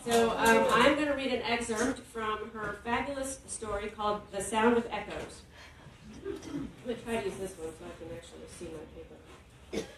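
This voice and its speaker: A woman's voice: a little high, normal speed, fairly bright tone. Middle aged lady emceeing, casual, super echoey, far from mic, noisy. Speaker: event host. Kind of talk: informal live announcements. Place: indoor book launch event. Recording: very echoey hall, distant, noisy.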